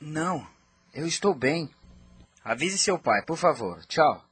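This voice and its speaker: A man's voice: on the high side, big pitch swings, slow pace, a bit bright, breathy edge, no room sound, full character voice. A man playing a worried, guilt-ridden husband and father, earnest and troubled, in a radio soap opera. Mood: grim. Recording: noisy audio with ambient sound.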